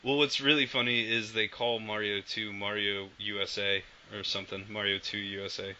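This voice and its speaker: A man with a bright, sharp voice, pitched a little low, chatting loosely as a podcast co-host. He rambles and swears offhandedly. The audio is dull and lo-fi, with a faint hiss behind it. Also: little pitch movement, moderate pace. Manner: rambling, swears casually, laughs often. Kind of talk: casual chat.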